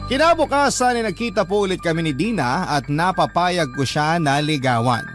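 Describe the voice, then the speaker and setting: A man's voice: a little high, lively intonation, normal speed, loud music behind the voice, close to an everyday voice. A man acting as a devoted young letter sender, sincerely and respectfully narrating his love story in a radio drama with a Filipino accent. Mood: fond, sincere.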